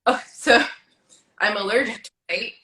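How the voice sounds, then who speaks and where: A woman in her thirties hosting a casual interview, chatty and giggly, firing off light quick questions. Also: on the high side, bright, forceful tone, a little hiss or hum. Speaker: host. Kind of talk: casual video chat interview. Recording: echoey room.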